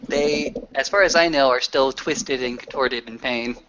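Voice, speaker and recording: A man's voice: mid-range voice, moderate pace, fairly bright tone. Man roleplaying over muffled, hissy audio. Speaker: player in a tabletop roleplaying game. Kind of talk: casual roleplay narration. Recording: dull noisy lo-fi voice chat, clicks.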